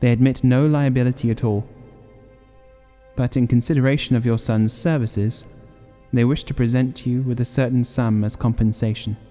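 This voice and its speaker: A man's voice: mid-range voice, fairly even intonation, normal speed, mellow, long reverb tail, faint music behind, natural voice. A man voicing a formal, uneasy company messenger with a stiff, apologetic manner in an audiobook story.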